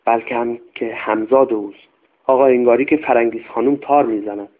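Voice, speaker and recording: A man's voice: medium pitch, fairly even intonation, close to an everyday voice. A man voicing an old, hunched female servant who is superstitious and fearful, in a tinny radio recording. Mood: frightened.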